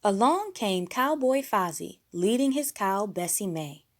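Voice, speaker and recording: Animated woman reading a children's story. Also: medium pitch, measured pace. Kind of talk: expressive scripted storybook narration.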